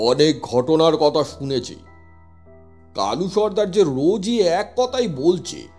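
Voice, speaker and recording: A man's voice: medium pitch, darkish tone. A man narrating a scripted horror story in the first person, with a suspenseful, dramatic delivery. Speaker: narrator, telling the story as a police inspector. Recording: long reverb with music underneath. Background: music.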